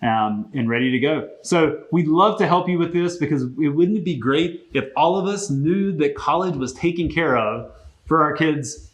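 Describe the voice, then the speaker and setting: A man hosting a casual business interview, explaining things conversationally with a gently teasing manner. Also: on the high side, normal speed. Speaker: host.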